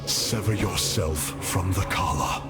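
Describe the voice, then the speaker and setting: A man's voice: sits low, unhurried. A man voicing a noble, resolute alien commander in a video game, with formal, commanding oratory. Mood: grave, urging. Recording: loud game music underneath.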